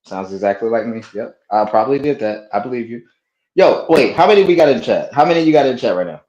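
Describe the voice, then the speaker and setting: A man's voice: medium pitch, lively intonation, fast talker, a little mellow, highs cut off. A man hosting a live stream with casual, profane banter, speaking bluntly and repeating short phrases. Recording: roomy, echoey sound.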